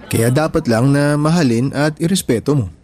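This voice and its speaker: A man's voice: a little high, normal speed, loud music behind the voice, lightly acted. A man playing an earnest, humble, hardworking young husband in a radio drama, talking in a mild conversational way. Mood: earnest, determined. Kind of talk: Tagalog radio drama dialogue.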